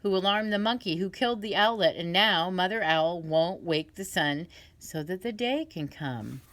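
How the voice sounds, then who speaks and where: Stern lion king voiced by a woman, loud, formal, storybook reading. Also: fairly low pitch, lively intonation, normal speed. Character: commanding male lion king, stern judge.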